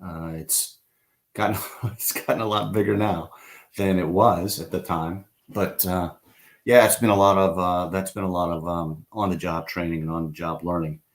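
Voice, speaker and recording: A middle-aged man from the East Coast of the United States speaks on a video call in a very low, deep voice. He tells his career story unhurriedly, stopping and restarting often and filling pauses with ums and uhs. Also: steady tone. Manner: unhurried, halting, many fillers and restarts. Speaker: interview guest. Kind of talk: online interview, telling his career story.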